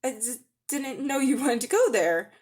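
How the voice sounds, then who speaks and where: A woman's voice: sits high, animated, normal speed, a little mellow, voice pushed up. A woman voicing a nervous, polite young schoolboy in a storybook, hesitant, stammering and protesting. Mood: nervous, evasive. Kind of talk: dramatic storybook reading.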